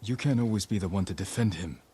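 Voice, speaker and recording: An actor playing a stoic, resolute samurai in a video game, speaking in a measured, grave, commanding way. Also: male voice, lowish voice, mellow, a little hiss or hum, lightly acted. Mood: grave, steady. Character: stoic, resolute samurai man leading a town's defence. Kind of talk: dramatic game cutscene dialogue. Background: music.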